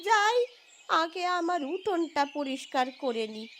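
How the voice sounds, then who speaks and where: A woman's voice: very high-pitched, sing-song, takes it slowly, a bit bright, slight background noise, full character voice. A woman voicing a nosy old village cleaning woman in a cartoon folk tale, complaining and exclaiming in a folksy way. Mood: grumbling. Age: older. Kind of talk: cartoon fairy-tale voice acting.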